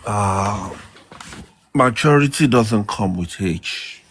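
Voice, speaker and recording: A middle-aged man, a guest elder giving measured marriage advice over a distant remote call line. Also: fairly low pitch, expressive, a little hiss or hum. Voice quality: loud voice. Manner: slow, measured advice with pauses.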